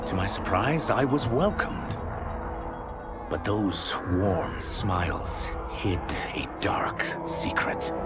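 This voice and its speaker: An actor playing a proud, wronged reptilian shape-shifter, narrating his story solemnly for a video game. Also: mid-range voice. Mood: grave, resolute. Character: proud, wronged male shape-shifting reptilian mutant emissary vowing justice. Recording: loud music behind the voice.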